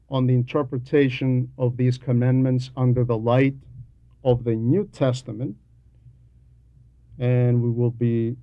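A man preaches a homily at a slow, measured pace. His delivery is deliberate and emphatic, leaning on repeated phrases as he teaches. His voice sits at a medium pitch in a dry, close sound. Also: dry recording, lo-fi sound. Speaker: homilist reading a translated homily.

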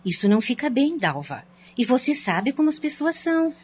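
Atriz fazendo uma tia brasileira devota, conciliadora. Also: female voice, sits high, big pitch swings, brisk, breathy edge, exaggerated voice. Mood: reproachful. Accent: Brazilian. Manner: pleading, moralizing. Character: pious older aunt, gently reproachful peacemaker. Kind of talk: radio soap acting. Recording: dull, lo-fi radio drama recording.

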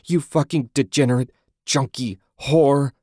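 A man voicing a furious, grieving woman in an audiobook, vengeful, forceful and profane as the character confronts someone. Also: lowish voice, a little slow, no echo, clean studio sound. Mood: enraged, accusing.

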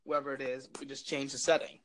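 A young man with a loud, projecting voice, rambling jokily through a casual chat as co-host. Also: mid-range voice, level tone, fast talker, slight background noise. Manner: rambling, filler-heavy, jokey.

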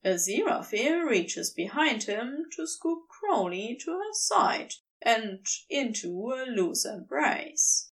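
A woman narrates scripted fiction slowly, with lively swings of pitch. Her voice is fairly high. The microphone sounds a little distant. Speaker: audiobook narrator.

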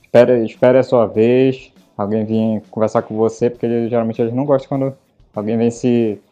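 A man's voice: mid-range voice, flat delivery, a little fast, dark tone, lo-fi sound, soft background music. A man from Belém with a Belém accent, hosting a casual podcast chat and telling stories with lots of fillers. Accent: Belém Paraense Portuguese. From Belém, Brazil. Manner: chatty storyteller, many fillers. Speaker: podcast host.

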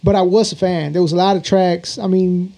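Male interviewer, informal and slangy, high-pitched yet mellow tone. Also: steady tone, brisk, vocal fry. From Houston, Texas. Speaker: interview show host. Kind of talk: casual interview chat.

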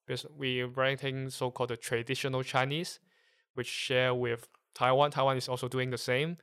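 A man from Hong Kong whose first language is Cantonese, speaking English with a Hong Kong accent as a guest, explaining things haltingly while searching for words. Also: medium pitch, flat delivery, fairly bright tone, clear, resonant tone. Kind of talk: casual conversation.

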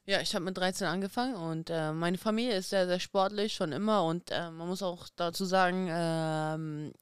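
A woman in her thirties, a former boxing champion guest, talking in a lively, chatty way with many fillers in a casual podcast interview. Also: on the low side, moderate pace, smooth, no room sound, studio quality. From Kazakhstan, raised in Germany.

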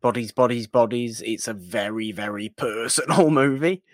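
A man's voice: mid-range voice, normal speed, no room sound, clean studio sound. A man co-hosting a podcast in casual film discussion, often repeating his words for emphasis as he goes. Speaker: podcast co-host.

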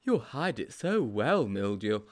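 A man's voice: medium pitch, sing-song, unhurried. A man voicing a timid, muddled, admiring storybook sidekick who asks questions in a naive, plaintive way. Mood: eager, admiring. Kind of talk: storybook character voice.

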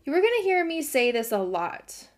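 A young adult woman hosting a self-help podcast, earnest and encouraging, her voice slightly hoarse from a cold. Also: high voice, big pitch swings, normal speed. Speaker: podcast host.

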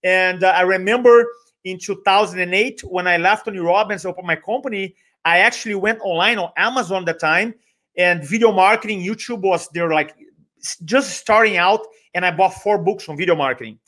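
A man from Brazil with a Brazilian accent talks with energy in a podcast interview, his voice sitting a little high for a man. He doubles up his words for emphasis and acts out little bits of dialogue as he tells his story. Portuguese is his first language. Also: fairly quick, sharp tone. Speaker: guest, speaker and trainer.

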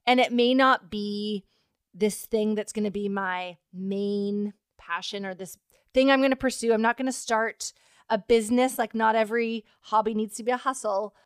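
A woman hosts a podcast, talking reflectively and earnestly with easy fillers. Her voice is smooth and resonant at a middle pitch. The recording is dry and close. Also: fairly even intonation, normal speed, no room sound. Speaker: podcast host. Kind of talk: casual conversation.

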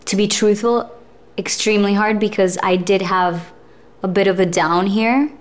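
Brief: young woman actress, reflective guest, unhurried and full of fillers. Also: medium pitch, steady tone. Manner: thoughtful, with frequent fillers and laughs. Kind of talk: casual interview chat.

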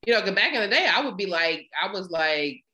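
A woman in her late thirties with a bright, forceful voice talks openly and laughs often. Her storytelling is animated and candid, in an easygoing chat. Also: mid-range voice, brisk, poor audio. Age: 30s. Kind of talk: casual chat.

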